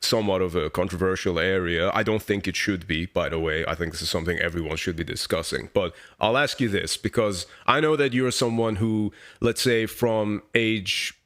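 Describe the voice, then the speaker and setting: A young man who grew up in Iran, interviewing a musician in loose, excitable casual chat with swearing and lots of fillers. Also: deep voice, normal speed, hardly any pauses.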